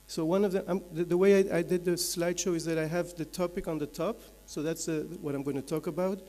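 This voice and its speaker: A man from Belgium, giving a measured, explanatory slide presentation as a conference speaker, point by point. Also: a little high, mellow. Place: large conference hall. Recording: echoey hall with long reverb.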